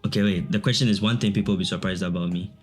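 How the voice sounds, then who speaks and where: A man's voice: low-pitched, fairly even intonation, very fast, soft background music. A young man with a Ghanaian accent, chatting easily as an interview guest and laughing often. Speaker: guest, digital artist. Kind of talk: casual interview chat.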